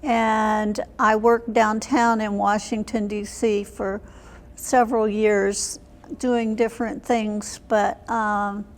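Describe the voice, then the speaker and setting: An older woman, in her seventies or beyond, recalling her life as an interview guest in a plain, halting way. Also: medium pitch, level tone. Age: 70s or older. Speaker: interview guest, military veteran. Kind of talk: reminiscing in an unscripted interview. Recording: long hall reverb.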